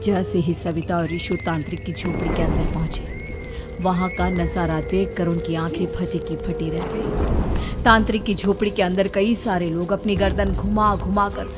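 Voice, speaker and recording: A woman's voice: on the low side, fairly even intonation, moderate pace. A woman reading scripted horror story narration as the storyteller. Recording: music playing behind narration.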